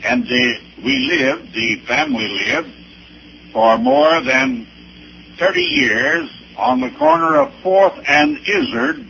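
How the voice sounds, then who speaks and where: Old preacher man, creaky but bright forceful voice, halting storytelling, muffled lo-fi recording with a bit of noise. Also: high voice, huge pitch swings. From Arkansas, United States. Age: 70s or older. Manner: deliberate, halting, with emphatic spelled-out dates and places. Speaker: pastor. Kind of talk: personal testimony with anecdotes, preaching delivery.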